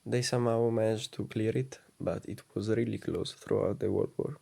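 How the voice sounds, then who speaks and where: A man talks over game footage in a low, soft voice. His tone is dark and gravelly. He explains each move in a calm, methodical way. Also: a little fast. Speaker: gaming channel host. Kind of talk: gameplay commentary voice-over.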